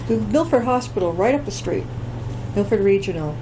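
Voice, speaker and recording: A woman's voice: mid-range voice, animated, fairly quick. Older woman host, chatty and encouraging, noisy recording. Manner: chatty, encouraging, tells anecdotes. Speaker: community TV host. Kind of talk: casual interview chat.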